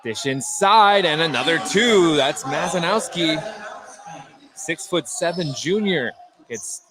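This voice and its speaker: A man's voice: on the high side, expressive, slow pace, a crowd in the background. A man doing live play-by-play radio commentary on basketball, describing the action in short, clipped phrases. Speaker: play-by-play announcer. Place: indoor basketball gym, courtside broadcast. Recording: noisy gym sound, bouncing balls. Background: loud gym ambience, basketball bounces.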